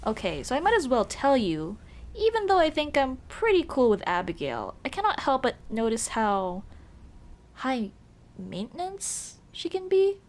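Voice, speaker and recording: Woman acting as a lively, high-voiced tomboy friend. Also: moderate pace. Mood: hesitant, candid. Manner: casual, teasing, addresses listener as dude. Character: teasing tomboy childhood friend, young woman, casual and caring. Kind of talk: ASMR roleplay, scripted one-sided conversation.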